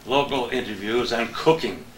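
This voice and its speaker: A man's voice: medium pitch, moderate pace, a bit bright. An older man, a veteran TV weatherman, chatting casually and telling anecdotes with a chuckle. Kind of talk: casual reminiscing chat. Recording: roomy echo, faint background noise.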